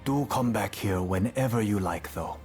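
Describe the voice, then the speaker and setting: A man's voice: lowish voice, normal speed, darkish tone, soft background music, close to an everyday voice. An actor playing an easygoing, welcoming swordsman ally in a video game, talking informally. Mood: relaxed, helpful. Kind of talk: video game cutscene acting.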